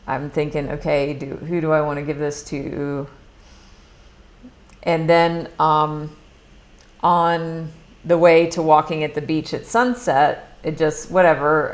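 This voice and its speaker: A middle-aged American woman telling a personal story in a casual live talk, chatty and earnest, with many restarts. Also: deep voice. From United States. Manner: earnest, confessional. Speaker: money mindset coach.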